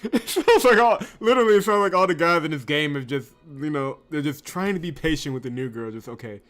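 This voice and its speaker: A man's voice: fairly high pitch, animated, fairly quick, a bit bright. A man giving wry, teasing commentary on a game while reading its narration aloud. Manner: wry, teasing, mock-indignant. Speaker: game streamer. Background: game music.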